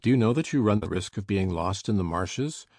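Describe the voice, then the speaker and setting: A man voicing a surly, savage middle-aged landlord with a gruff, sneering delivery. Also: fairly low pitch, expressive, brisk, a little mellow, dry recording, studio quality, voice pushed down.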